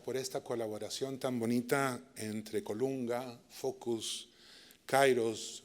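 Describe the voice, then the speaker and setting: A middle-aged man from Chile with a Chilean accent, giving a master class and telling a story to a live audience. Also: mid-range voice. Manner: warm anecdotal storytelling with direct questions to the audience.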